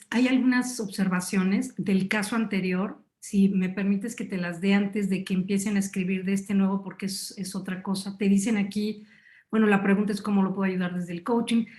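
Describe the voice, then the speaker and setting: A woman's voice: medium pitch, darkish tone. A woman moderating an online class who politely relays chat comments to the teacher. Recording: roomy echo.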